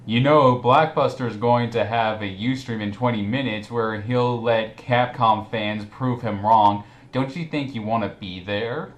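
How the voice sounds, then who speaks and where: A man's voice: medium pitch, a little slow, few pauses. A man hosting a casual group commentary chat, talking informally with friends. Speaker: host. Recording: roomy echo with some background noise.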